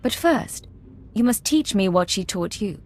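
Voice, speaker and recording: A woman's voice: mid-range voice, lively intonation, unhurried, a little mellow. An actress playing a self-assured female secret agent in a video game, controlled and coolly persuasive. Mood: probing, persuasive.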